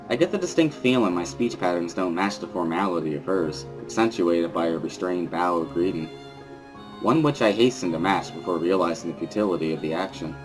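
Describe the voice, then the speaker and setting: A man with a low voice narrates a visual novel in the first person. He reads it as a dramatic story, with soft music playing underneath. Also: rough recording.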